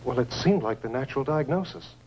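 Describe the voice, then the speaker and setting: An actor playing a dazed astronaut shaken by a crash landing, in 1950s science fiction film style. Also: male voice, mid-range voice, lively intonation, fairly quick, darkish tone, close to an everyday voice. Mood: puzzled. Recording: noisy old film soundtrack with hum.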